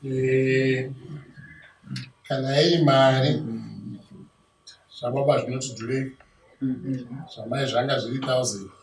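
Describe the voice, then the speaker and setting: A man with a Zimbabwean accent playing a businesslike Shona elder in a dramatized bride price negotiation, listing items deliberately, point by point. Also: medium pitch, fairly even intonation, close to an everyday voice. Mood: measured. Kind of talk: dramatized traditional negotiation, conversational. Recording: echoey, distant mic, clear background noise.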